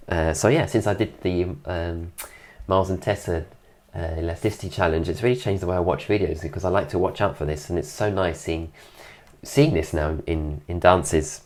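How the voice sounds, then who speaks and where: Deep-voiced man with a stuffy nose. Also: steady tone, normal speed, darkish tone. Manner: admiring, keeps praising details. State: recovering from illness, voice deeper than usual. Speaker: dance teacher reviewing a dance video. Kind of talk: relaxed video commentary.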